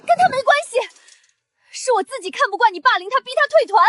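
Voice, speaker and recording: A woman's voice: extremely high pitch, animated, quick pace, a bit bright, no echo. A woman voicing a spiteful, defensive young woman in an audio drama. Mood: defensive, indignant. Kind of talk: dubbed audio drama dialogue.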